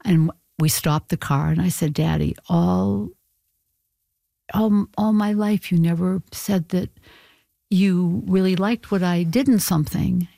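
Creaky-voiced woman, reflective and hesitant. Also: on the low side, unhurried, warm tone, no echo. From Portland, Maine, USA. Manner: thoughtful, hesitant, many fillers and restarts. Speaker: interview guest, stage actor. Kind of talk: reflective casual conversation.

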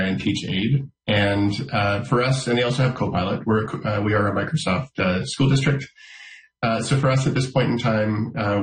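Low-voiced man, echoey sound. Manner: measured, filler-heavy explanations. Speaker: school district official. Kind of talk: radio interview answers.

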